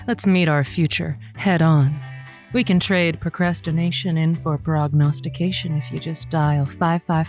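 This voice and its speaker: A woman plays a seductive late-night love advice hostess in an audio drama. Her voice sits on the low side, and she draws her words out in a teasing, theatrical way, with music behind her. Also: darkish tone, close to an everyday voice. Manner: slow, theatrical, playful drawl. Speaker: radio call-in host. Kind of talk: scripted audio drama, seductive radio monologue.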